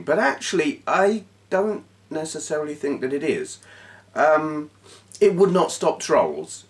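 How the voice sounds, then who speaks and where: A man's voice: medium pitch, distant mic. A middle-aged man with a stuffy nose from a cold, frankly chatting to camera as a channel host. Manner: frank and blunt, with restarts and short laughs. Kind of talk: casual talking-head vlog.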